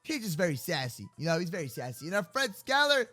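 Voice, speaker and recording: A man with a bright, high-set voice commentates an improvised game roleplay. His delivery swings widely in pitch and is full of casual slang. Music plays behind him. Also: fast talker. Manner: animated, slangy, reacting aloud. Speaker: gaming video host.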